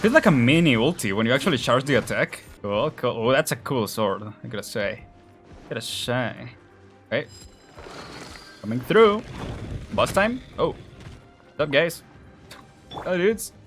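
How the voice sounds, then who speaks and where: A man's voice: mid-range voice, sing-song, a little slow, long pauses, fairly bright tone. A man doing casual live game commentary, with slangy, offhand reactions muttered half to himself. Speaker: gaming streamer. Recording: game music behind the voice.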